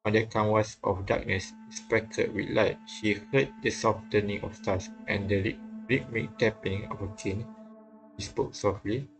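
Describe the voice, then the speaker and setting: Flat, low-voiced man reading a game aloud. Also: low-quality recording. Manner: halting, repeats phrases, puzzles aloud. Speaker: streamer reading a visual novel. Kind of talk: reading game text aloud with casual commentary. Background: game music faintly behind.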